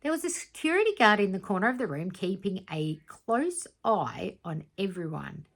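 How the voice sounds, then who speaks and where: A woman reading a children's story aloud in an expressive, dramatic teacherly way, with asides to young listeners. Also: medium pitch, normal speed, gravelly. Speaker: teacher reading aloud to primary school students.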